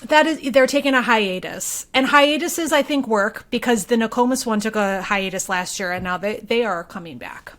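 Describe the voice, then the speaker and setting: A woman's voice: on the high side, normal speed, few pauses, a bit bright. A woman co-hosting a podcast in casual conversation, thinking aloud and hedging her points as she goes. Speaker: podcast co-host.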